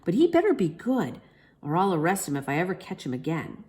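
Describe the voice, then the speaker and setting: Woman as a stern, animated policeman. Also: on the low side, a little fast. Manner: stern, admonishing. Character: stern, bossy male police officer.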